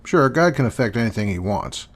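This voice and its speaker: A man's voice: mid-range voice, very animated, fairly quick. A man hosting and answering questions in a dry, sarcastic, dismissive manner. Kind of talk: sarcastic commentary.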